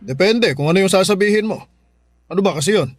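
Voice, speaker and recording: A man playing a stern, hot-tempered middle-aged father in a Filipino radio drama, scolding and gruff in manner. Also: high-pitched, animated, quick pace, dry recording. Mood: stern, impatient.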